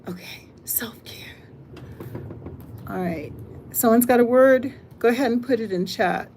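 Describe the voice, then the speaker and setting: An older woman from the United States hosting a casual live stream, chatting, reading comments aloud and thinking out loud. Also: a little high, a little slow, a little hiss or hum. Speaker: livestream host.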